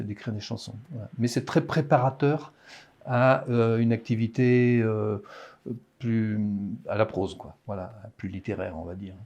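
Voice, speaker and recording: A man in his sixties with a Parisian French accent, an author talking about his writing in a hesitant, halting way in a casual interview. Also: medium pitch, dark tone. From France. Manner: hesitant, halting, many restarts.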